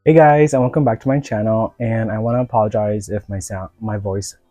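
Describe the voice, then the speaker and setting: A man's voice: fairly low pitch, steady tone, a little fast, warm tone, no room sound. A man from the United States with a cold, chatting casually as a doll reviewer in a rambling way, full of filler words. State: sick with a cold. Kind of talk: casual vlog chat.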